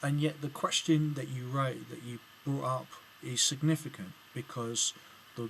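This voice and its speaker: A man's voice: mid-range voice, steady tone, moderate pace, gravelly, fairly bright tone, slight background noise, rough recording. A man with an English accent giving a spoken video reply, hesitant and full of ums and restarts. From England. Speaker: video blogger replying to another video. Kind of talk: casual spoken commentary to camera.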